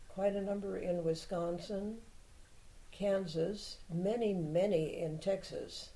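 A woman's voice: medium pitch, steady tone, slow, dark tone, poor audio. An older woman whose first language was Czech, unhurriedly reminiscing in an oral history interview and giving plain opinions. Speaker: interviewee, Czech heritage club member.

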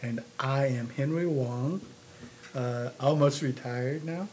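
A man tells his personal history to an interviewer. His delivery halts and doubles back on words, at a medium pitch. There is clear noise behind him. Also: darkish tone. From Taiwan (born in China). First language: Mandarin Chinese. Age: 60s. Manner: halting, repeats words and phrases. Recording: noticeable background noise.

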